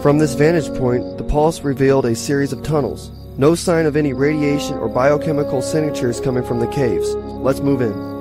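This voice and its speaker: A man playing a calm, tactful starship officer leading a field mission, matter-of-fact and careful, in a science fiction audio drama. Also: medium pitch, normal speed, over loud music, natural voice. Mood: focused.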